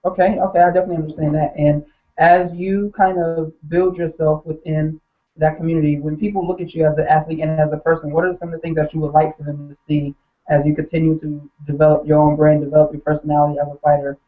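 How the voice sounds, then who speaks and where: A man interviewing an athlete, polite and a little halting, with many fillers. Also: a little high, flat delivery. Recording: tinny phone line.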